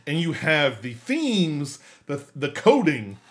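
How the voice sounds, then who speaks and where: Slightly high-voiced man, halting podcast host. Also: huge pitch swings. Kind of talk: casual podcast discussion.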